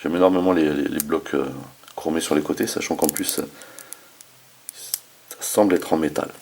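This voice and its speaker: A man's voice: rumbling low, steady tone, normal speed, a little mellow. A man hosting a casual hands-on toy review, talking informally with slangy enthusiasm. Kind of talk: casual hands-on product demonstration.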